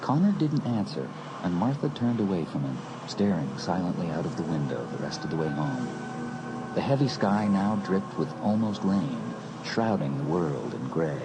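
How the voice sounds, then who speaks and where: Deep-voiced male horror narrator. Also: big pitch swings, moderate pace, background noise. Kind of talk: dramatised horror audiobook narration.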